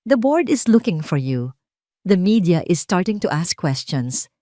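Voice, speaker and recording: A woman's voice: on the low side, very animated, measured pace, mellow, no echo, studio quality. A woman voicing a cold, domineering wealthy older matriarch in an audio drama, stern and commanding, switching between languages. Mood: cold anger.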